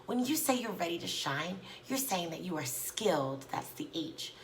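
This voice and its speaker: A woman teacher reading aloud and speaking to children in an expressive, encouraging, deliberate way. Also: on the low side, a little hiss or hum, rough recording.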